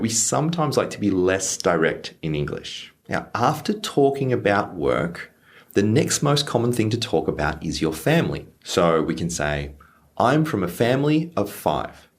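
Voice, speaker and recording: A young man teaching English phrases to learners, slowing down on example lines. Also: fairly low pitch. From Australia. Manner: lively, stresses example phrases slowly. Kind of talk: clear teaching explanation for learners.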